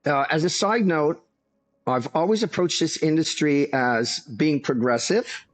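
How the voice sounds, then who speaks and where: A man who is a master hair stylist and salon owner, talking as an interview guest in a measured, storytelling way. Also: on the high side. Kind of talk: casual interview talk.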